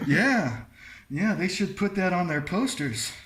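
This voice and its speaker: A man chatting casually as a podcast host, telling stories in an animated way. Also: high-pitched, takes it slowly. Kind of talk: casual chat.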